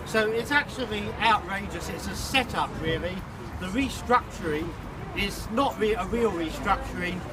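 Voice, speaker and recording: A man's voice: sits high, normal speed, crowd noise. A man, a union colleague, speaking at an outdoor protest in a blunt, indignant way with small restarts. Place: outdoors at a college protest, street traffic nearby. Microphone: distant camera. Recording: distant voice with street noise. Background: traffic and street ambience.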